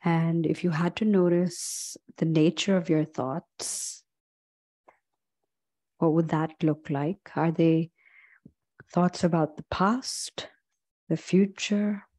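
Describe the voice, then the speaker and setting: Female meditation guide, low-pitched and very slow, gentle, leaving long pauses. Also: fairly even intonation. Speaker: yoga and meditation instructor.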